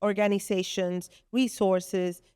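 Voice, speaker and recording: A woman's voice: mid-range voice, flat delivery, slow pace, warm tone, smooth, dry recording, clean studio sound. A woman from the Republic, a podcast guest explaining her business at length with earnest fillers. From Dominican Republic. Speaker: guest, co-founder of a business space. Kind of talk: podcast interview.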